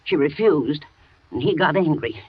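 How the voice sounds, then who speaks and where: An actress playing a loyal housekeeper in a 1940s radio thriller, talking with a nervous, shaky manner on a dull old broadcast. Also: female voice, low voice, animated, moderate pace, husky, no echo. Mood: shaken. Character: frightened loyal housekeeper woman, middle-aged. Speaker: housekeeper and nurse.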